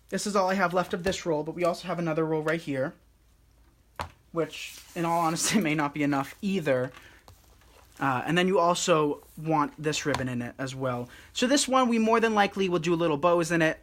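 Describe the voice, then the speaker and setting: A man hosting a live craft tutorial, talking viewers through each step in a soothing, relaxed and unhurried way. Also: on the high side, a little hiss or hum.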